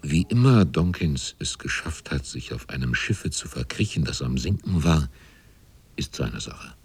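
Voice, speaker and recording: Very deep, husky male narrator. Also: very animated, measured pace, a little mellow. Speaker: narrator of a German radio drama. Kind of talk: scripted dramatic narration.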